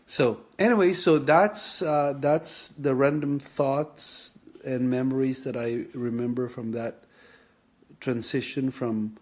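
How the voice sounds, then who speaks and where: This is a man, sharing memories in an unhurried, reflective way. His voice sits at an ordinary middle pitch. He talks slowly, halting often and circling back to finish his thoughts. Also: steady tone, darkish tone. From Philippines. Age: 50s. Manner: halting, thoughtful, many pauses and restarts. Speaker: vlogger sharing his kidney story. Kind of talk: reflective personal memoir.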